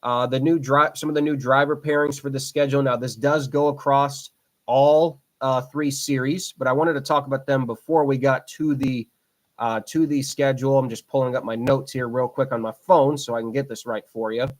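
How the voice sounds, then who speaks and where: A man's voice: mid-range voice, fairly even intonation, moderate pace. A man in his twenties hosting a racing podcast solo, talking casually, rambling and repeating himself with lots of fillers. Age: 20s. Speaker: podcast host.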